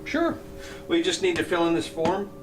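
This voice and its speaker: A man's voice: fairly high pitch, lively intonation, normal speed, over music, lightly acted. An actor playing a chatty small-town gun shop salesman with an American accent and friendly, casual patter in an audio drama.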